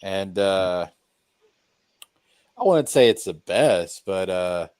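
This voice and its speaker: A man in casual chat, talking haltingly and repeating words for emphasis. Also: low-pitched, expressive, a little mellow, clean tone, dry recording.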